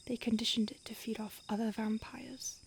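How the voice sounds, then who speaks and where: A woman's voice: fairly high pitch, little pitch movement, normal speed, vocal fry, a little hiss or hum. A woman voicing an ancient female vampire on the run, speaking in an urgent whisper in an audio roleplay. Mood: hushed urgent.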